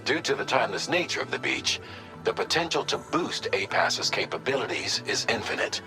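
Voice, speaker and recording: An actor playing a composed, businesslike mission adviser in a video game, giving formal, measured and precise briefings. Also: male voice, mid-range voice, very animated, roomy sound, music in the background, rough recording. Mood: informative, composed.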